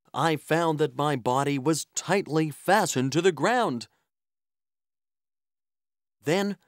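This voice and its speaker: An actor playing an earnest, polite traveler who tells his adventure in an expressive children's story style. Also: on the high side, no echo, clean studio sound. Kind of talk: scripted children's story narration in character. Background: music.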